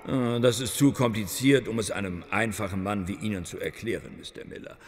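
A man's voice: fairly low pitch, steady tone, a little fast, big-room sound, lightly acted. An actor playing a pious, pompous clergyman in a radio play, solemn and condescending. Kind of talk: German radio play acting.

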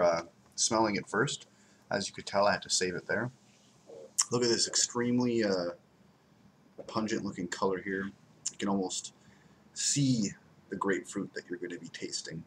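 A man's voice: on the low side, moderate pace, pauses often, a little hiss or hum. A young man casually reviewing a beer at home, rambling and repeating words for emphasis, recorded on a desk webcam. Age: young adult. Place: home room by window blinds, indoors.

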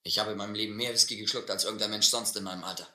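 An actor playing a desperate, educated drifter who drinks hard, rambling and pleading in halting bursts in a radio adventure play. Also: male voice, fairly low pitch, fairly even intonation, bright, forceful tone, reverberant. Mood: boastful, pleading. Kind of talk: German audio adventure drama.

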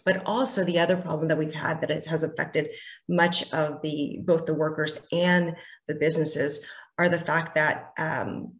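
Woman legislator, middle-aged, lowish voice, earnest and unpolished, video-call sound. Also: steady tone, normal speed. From California. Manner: earnest, many fillers and restarts. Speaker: state senator, interview guest. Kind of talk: candid answers in a virtual town hall. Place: home office, indoors.

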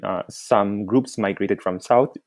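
Guest researcher, man from the Philippines, low voice, dry sound, explanatory. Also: takes it slowly, darkish tone, no room sound. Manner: explanatory, with frequent uh fillers. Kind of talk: interview answers.

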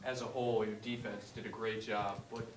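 A man's voice: on the low side, level tone, brisk. A man reporter asking long, rambling questions at a press conference. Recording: roomy, distant, noisy press room.

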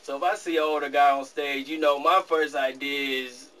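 A man's voice: a little high, steady tone, pushed and bright. An eighteen-year-old man, a young rapper, answering interview questions earnestly in a small studio office. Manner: fast, earnest, laughs easily. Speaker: rap artist guest. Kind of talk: casual interview. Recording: distant camera mic with faint room noise.

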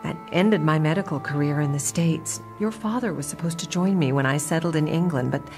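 A woman's voice: low-pitched, fairly quick, darkish tone. A woman playing a guarded, easily flustered doctor with a troubled past in a motion comic, speaking earnestly and hesitantly. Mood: regretful, evasive. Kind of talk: motion comic dramatic acting. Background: background music.

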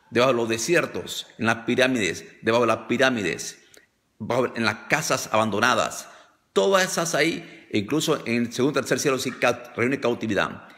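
A man's voice: mid-range voice, fairly bright tone. An older man leading a fervent, repetitive deliverance prayer on a phone video call, commanding and declaring. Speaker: deliverance preacher.

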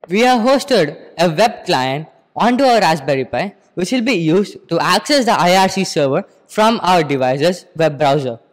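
Indian teen boy doing a formal school presentation, high voice, very echoey room. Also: lively intonation, normal speed, few pauses. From Andhra Pradesh, India. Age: teens, about 15. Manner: formal, explanatory. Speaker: student presenter. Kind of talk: rehearsed school project presentation. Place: school computer lab, indoors. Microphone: handheld microphone. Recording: echoey room, long reverb.

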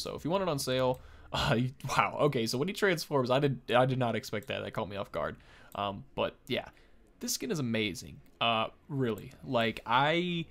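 A man's voice: mid-range voice, a bit bright. A man, giving informal, enthusiastic game preview commentary. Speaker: gaming video host. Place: small indoor room at a desk. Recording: faint background noise and clicks.

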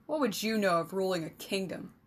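A woman's voice: fairly high pitch, animated, normal speed. A woman voicing a short-tempered, embattled male king who snaps commands through gritted teeth. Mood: irritated.

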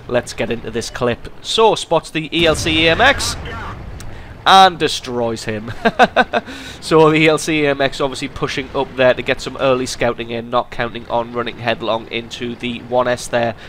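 A man's voice: mid-range voice, moderate pace, a little hiss or hum. Man with a cold narrating a game replay. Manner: lively, amused commentary with chuckles. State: has a cold. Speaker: gaming channel host.

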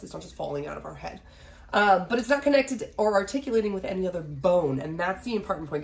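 A woman in her thirties giving a casual recorded biology lecture from a small home office, a little nasal from a cold, often asking "right?". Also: mid-range voice. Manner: chatty, asks "right?" often, fillers. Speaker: biology instructor.

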